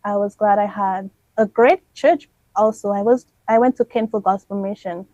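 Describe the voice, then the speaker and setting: A woman's voice: medium pitch, steady tone, moderate pace, no room sound, lo-fi sound. Young woman, reflective. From Dominica. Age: 20s. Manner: warm, reflective, laughs often. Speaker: interview guest. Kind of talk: casual radio interview.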